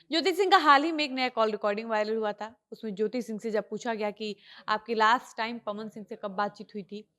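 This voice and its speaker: A woman's voice: a little high, fairly bright tone. A woman presenting an online news report, explaining the story as she retells it.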